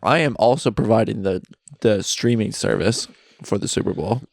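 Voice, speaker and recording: Give me a wry, teasing man with some gravel in his voice. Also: lowish voice, moderate pace, no echo. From Britain. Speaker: podcast co-host. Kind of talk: casual chat. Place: friend's basement.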